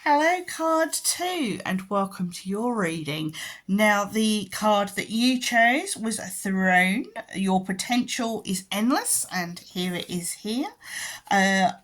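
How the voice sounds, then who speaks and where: A woman giving a pick-a-card tarot reading in a gentle, halting way, full of ums and restarts. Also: mid-range voice, animated. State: croaky voice and yawning. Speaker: tarot reader.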